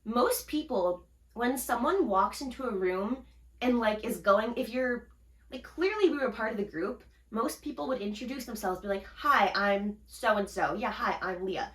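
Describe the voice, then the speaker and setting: Bright-voiced young woman telling a story. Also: a little high, normal speed, roomy sound. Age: young adult. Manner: animated storyteller, laughs often, acts out quotes. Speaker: podcast co-host. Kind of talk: casual storytelling chat.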